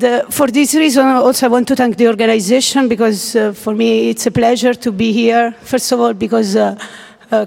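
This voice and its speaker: A woman in her thirties with an Italian accent gives a hesitant, nervous conference talk, full of pauses and restarts. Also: fairly high pitch, creaky, a little mellow, in a large hall. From Italy. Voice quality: hesitant, many uh fillers and restarts. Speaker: conference speaker. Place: large lecture hall.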